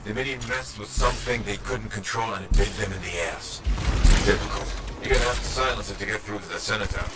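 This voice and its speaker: An actor playing a gruff, loyal comrade who gives battle support in a video game, blunt and encouraging. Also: male voice, fairly low pitch, fast talker, heavy background noise, lightly acted. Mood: grim urgency.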